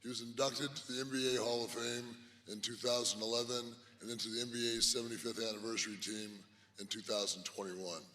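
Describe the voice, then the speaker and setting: A hoarse man who has lost his voice, giving an induction speech with dry jokes. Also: mid-range voice, fairly even intonation, a little fast, sharp tone, slight background noise. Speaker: hall of fame inductor. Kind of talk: ceremony speech. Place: large arena hall. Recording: hall reverb, faint crowd ambience.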